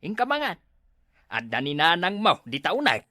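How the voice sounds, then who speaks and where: A woman's voice: fairly low pitch, sing-song, normal speed, pushed and bright, no echo, studio quality. A woman playing a grumbling, disapproving parent-in-law from a farming household in an Ilocano comedy drama, chiding as they talk.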